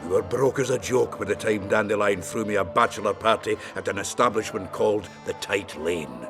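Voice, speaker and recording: A man as gravelly, blunt, hearty dwarf. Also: lowish voice, fairly quick, music in the background, natural voice. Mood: indignant, storytelling. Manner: blunt, salty, colourful. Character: gruff, crude, hearty male dwarf warrior. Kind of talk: cinematic fantasy game acting.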